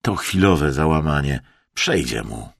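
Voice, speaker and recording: A man's voice: sits low, very animated, a little slow, a bit bright, slightly rough, no room sound, exaggerated voice. A man voicing a stern British naturalist leading an expedition in an audiobook, speaking firmly and emphatically. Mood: quiet, firm. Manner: firm, emphatic. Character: stern British naturalist, usually muffled voice, firm leader.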